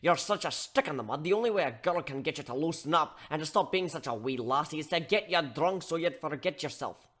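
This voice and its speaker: Man acting a gruff barkeep mentor, blunt, colloquial, animated pitch, tough-love lecturing, dramatic audio story. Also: brisk, hardly any pauses.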